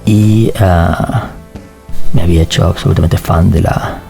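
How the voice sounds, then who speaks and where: A man, a novelist answering interview questions in a measured, thoughtful way with many fillers. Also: sits low, steady tone, creaky, dark tone, soft background music. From Argentina. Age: 40s. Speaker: novelist guest.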